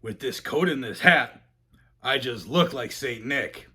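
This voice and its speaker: A man puts on a sour old storybook creature, a scheming grouch with a husky voice. He speaks slowly and with a sneer, dropping into low, deliberate menace. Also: animated, pushed and bright, exaggerated voice. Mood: sly, plotting. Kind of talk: storybook read-aloud character voice.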